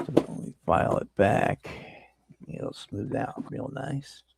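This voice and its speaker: A man's voice: mid-range voice, unhurried, vocal fry, breathy edge, no echo, studio quality. A man hosting a model-building livestream, chatting casually and explaining his work as he builds. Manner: relaxed, rambling explanations while working. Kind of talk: casual workbench chat.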